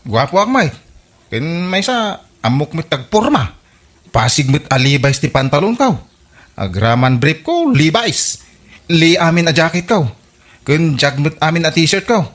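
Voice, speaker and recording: A man's voice: a little high, big pitch swings, a little slow, fairly bright tone, exaggerated voice. A man with an Ilocano accent playing a boastful, lovesick young suitor in a radio comedy drama. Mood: boastful, puzzled.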